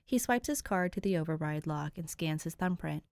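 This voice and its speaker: A woman narrates a story aloud in a fairly low voice, expressive and close to the mic. The sound is dry, with no room echo. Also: normal speed, vocal fry. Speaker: fanfic podfic reader. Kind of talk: audiobook narration.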